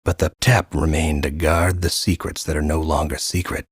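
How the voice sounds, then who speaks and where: Extremely deep, raspy nightmare guide. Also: male voice, normal speed, no room sound, clean studio sound. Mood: wry, ominous. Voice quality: husky, raspy. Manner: deadpan, ominous wit. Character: gloomy, wry gravel-voiced guide to a nightmare land. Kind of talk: scripted game narration.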